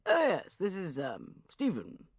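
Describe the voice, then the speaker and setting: A woman voicing a loud, brash older uncle, roaring and hearty. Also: on the low side, wildly swooping pitch, unhurried, breathy edge, no room sound, studio quality, exaggerated voice.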